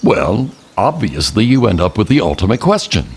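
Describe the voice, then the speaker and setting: An actor playing an older male mentor and army general in an audio drama, speaking in a measured, patient, enigmatic way. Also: lowish voice, very animated, a little hiss or hum. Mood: patient, didactic.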